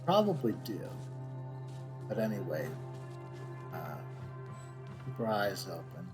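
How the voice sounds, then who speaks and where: A middle-aged man giving casual gameplay commentary, thinking out loud as he streams. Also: fairly low pitch, big pitch swings, slow. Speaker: game streamer. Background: game music.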